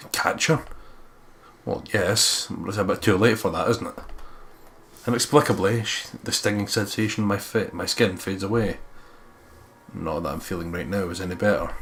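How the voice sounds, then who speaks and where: Low-voiced man reading a visual novel. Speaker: let's player. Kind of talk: expressive read-aloud narration of a visual novel, with casual asides. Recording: faint background noise.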